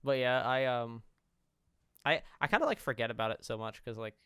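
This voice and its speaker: A man's voice: mid-range voice, a bit bright, clean tone, dry recording, clean studio sound. A man in his early twenties co-hosting a podcast, chatting casually and swearing freely. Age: 20s. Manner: quick, swears, laughs a lot. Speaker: podcast co-host. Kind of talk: casual chat.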